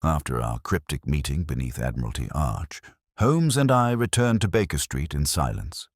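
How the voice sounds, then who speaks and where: A man narrating a scripted story in a measured, dramatic, atmospheric way. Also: rumbling low, lively intonation, no room sound, studio quality. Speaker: audiobook narrator.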